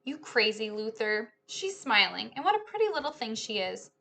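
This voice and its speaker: A woman's voice: fairly high pitch, sing-song, moderate pace, gravelly, pushed and bright, full character voice. A woman voicing smug, dim male art thieves from a children's storybook in showy, conspiratorial talk. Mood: menacing, cocky.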